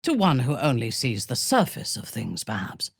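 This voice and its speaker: A woman playing an imperious, ruthless dark elf warrior in a video game, speaking in a haughty, deliberate, formal manner with cold menace. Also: extremely low pitch, wildly swooping pitch, creaky, slightly rough, no echo, clean studio sound, full character voice. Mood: dry, haughty.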